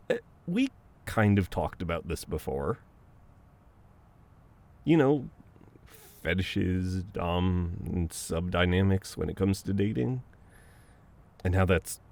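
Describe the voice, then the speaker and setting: A man's voice: sits low, exaggerated voice. A man playing a caring, teasing, dominant best friend in an intimate audio roleplay, talking casually and conversationally. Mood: flustered, apologetic. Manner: casual, conversational, with soft chuckles and hesitations.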